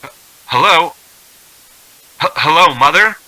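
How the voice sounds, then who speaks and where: Man acting a bright-voiced, haunted radio drama traveler. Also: fairly high pitch, expressive, unhurried, no echo, exaggerated voice, poor audio. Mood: panicked disbelief. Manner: dramatic, stammering when shaken. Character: distraught, haunted male traveler on the edge of panic.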